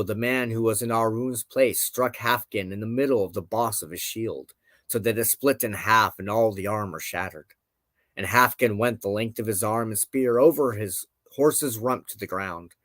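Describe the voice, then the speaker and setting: A man's voice: on the low side, moderate pace, no echo, studio quality. A man narrating an old legend aloud as a storyteller. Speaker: host, storyteller.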